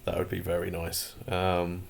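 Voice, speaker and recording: Croaky low-voiced man rambling. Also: completely flat delivery, measured pace. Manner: rambling, many fillers and restarts; opinionated, grumbling asides. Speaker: channel host. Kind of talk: casual commentary vlog.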